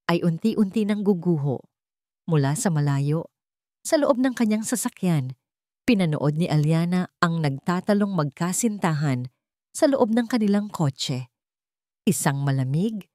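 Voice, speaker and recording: A woman's voice: on the low side, a little mellow, no echo, clean studio sound. A woman narrating a scripted dramatic story as an audio storyteller.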